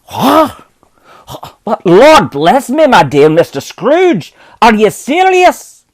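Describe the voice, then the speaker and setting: A man voicing a flustered gentleman collecting for charity who is effusive in his thanks. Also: very high-pitched, sing-song, slow, voice pushed up, heavily acted.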